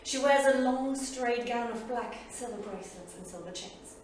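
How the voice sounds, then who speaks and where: An actress plays an intense woman narrator in a stage drama, her voice high and declamatory. She measures out each phrase with deliberate pauses. The recording is very echoey and distant, with faint noise. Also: close to an everyday voice. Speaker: stage actor in a staged reading. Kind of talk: dramatic theatrical reading. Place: small theatre studio.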